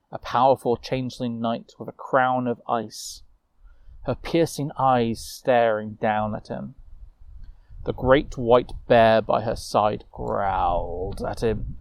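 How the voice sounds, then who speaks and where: A man telling a fantasy tale in character, moving between informal asides and measured narration. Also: mid-range voice, darkish tone, no echo. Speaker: storyteller.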